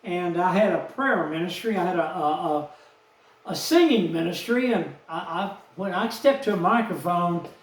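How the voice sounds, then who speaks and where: A man, telling a rambling personal story in a slow, aggrieved, folksy way. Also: high voice, animated, far from the mic. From Texas, USA. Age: 70s. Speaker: vlogger. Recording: echoey room, off-mic.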